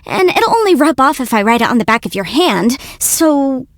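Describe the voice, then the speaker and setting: A woman's voice: sits high, very animated, normal speed, dry recording, clean studio sound. A woman voicing a cute, confident young female legal adviser in a video game, youthful and articulate with a theatrical, self-assured delivery. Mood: playful, eager. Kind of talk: video game character voice lines.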